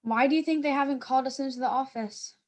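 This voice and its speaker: A woman plays an anxious schoolgirl in a stage play reading. The voice sits very high. The delivery is fretful and theatrical, treating trouble as a disaster. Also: fast talker, darkish tone, lightly acted. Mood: anxious, panicky. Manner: fretful, dramatic. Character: anxious, dramatic schoolgirl dreading punishment.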